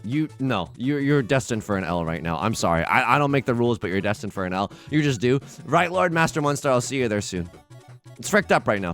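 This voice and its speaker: A man hosting a game let's play, thinking aloud in playful, self-mocking banter that rambles with restarts and swings from hushed asides to excited bursts. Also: medium pitch, creaky. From United States. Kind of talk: casual gameplay commentary.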